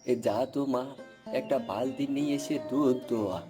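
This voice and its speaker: A man playing a gentle, needy old village father in a cartoon folk tale. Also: medium pitch, moderate pace, music in the background, close to an everyday voice. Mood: concerned.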